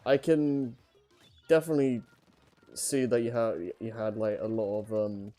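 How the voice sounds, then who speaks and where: A man's voice: medium pitch, little pitch movement, a little slow, warm tone, faint music behind. A man co-hosting a podcast in casual, conversational chat.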